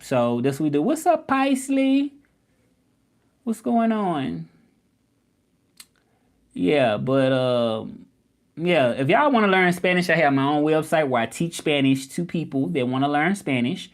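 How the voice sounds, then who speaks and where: A man's voice: a little high, very animated, a little slow, long pauses. Casual man hosting a livestream. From Memphis, US South. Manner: loose, rambling, greets viewers by name. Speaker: livestream host and language teacher.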